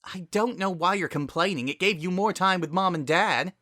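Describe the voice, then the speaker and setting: A man's voice: high voice, moderate pace, bright, forceful tone, dry recording, clean studio sound, voice pushed up. A man voicing a defensive young female unicorn pony, a guilty younger sister, in a dramatic story reading. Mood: upset, heated. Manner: blunt, defensive, emotional.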